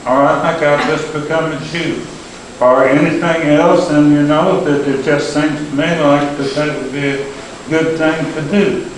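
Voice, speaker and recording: A man speaking at a public talk in a halting way, with many pauses and fillers. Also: on the high side, a little hiss or hum. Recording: echoey hall taped from far off.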